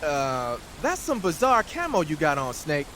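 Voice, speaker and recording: A man's voice: fairly high pitch, wildly swooping pitch, unhurried, a bit bright, slight background noise, exaggerated voice. An actor playing a chatty, blunt support man in a video game, talking informally and with exasperation to a field agent. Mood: bemused.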